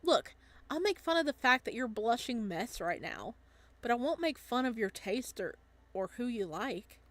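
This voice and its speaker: A woman's voice: on the high side, very animated, normal speed, no echo. Woman doing a casual, teasing young guy. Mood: teasing, supportive. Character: casual, teasing young male best friend, loyal. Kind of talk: visual novel dialogue reading.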